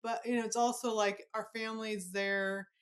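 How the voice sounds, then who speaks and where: A woman's voice: on the high side, little pitch movement, normal speed. A woman hosting a casual, chatty interview in a gushing, informal, enthusiastic manner. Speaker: podcast host interviewing an author.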